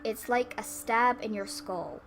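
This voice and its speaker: A woman with a fairly high voice hosts a let's play of a visual novel. She reads the narration aloud and slips into casual, chatty asides. Music plays softly behind her. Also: fairly even intonation, a little slow. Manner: informal asides between read passages.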